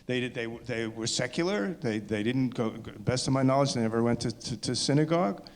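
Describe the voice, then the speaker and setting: A man answers questions informally as a filmmaker at a screening. His voice sits at an average pitch and rings in a reverberant hall. He speaks haltingly, often circling back to rephrase. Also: lively intonation. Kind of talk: informal audience Q&A. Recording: echoey hall, long reverb.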